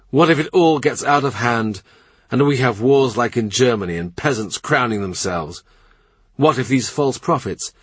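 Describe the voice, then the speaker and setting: A man's voice: medium pitch, a bit bright, breathy edge, dry recording. A man voicing a middle-aged king, blunt and boastful, with a scornful, brooding manner, in a storybook reading.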